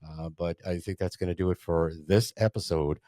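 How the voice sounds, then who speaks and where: A man's voice: low voice, fairly even intonation, normal speed, dark tone, dry recording, studio quality. A man hosting a podcast interview as an attorney, asking friendly, chatty, rambling questions.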